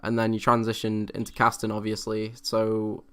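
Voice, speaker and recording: A man interviewing a guest in a relaxed, chatty way, with short agreeing replies. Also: lowish voice, moderate pace, clear, resonant tone. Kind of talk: casual interview chat.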